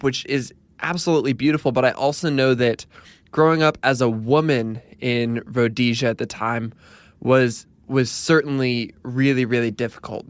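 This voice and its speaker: A man's voice: medium pitch, clear, resonant tone, no room sound. Effusive, earnest male podcast host. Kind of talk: podcast intro and interview questions.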